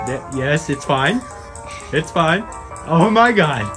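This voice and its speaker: Man doing a loud, high-flying pushy ad pitchman. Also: slow, over music. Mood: pushy, excitable. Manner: loud, badgering, excitable. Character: brash, pushy, insulting 1920s product pitchman. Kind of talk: comic radio advertisement.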